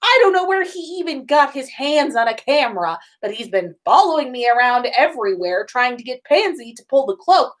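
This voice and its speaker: Woman acting a teenage boy wizard, raised pitch, exasperated, embarrassed, emphatic complaining, comic storybook. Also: big pitch swings, brisk, few pauses. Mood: angry ranting.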